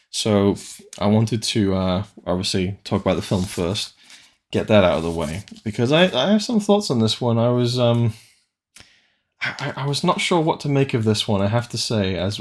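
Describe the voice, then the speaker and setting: A man from Wales giving a casual, rambling film review, full of hesitant restarts. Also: on the low side.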